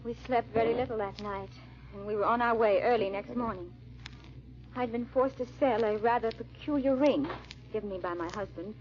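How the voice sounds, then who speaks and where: A woman's voice: medium pitch, a little mellow, close to an everyday voice. An actress playing a frightened young noblewoman on the run, who also narrates her tale, in melodramatic, formal 1940s radio drama style. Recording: muffled vintage radio recording.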